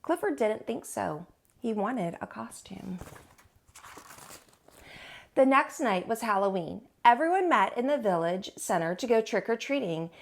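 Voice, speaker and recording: A woman hosting storytime, reading aloud to young children with an expressive, teacherly delivery. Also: on the high side, unhurried, gravelly.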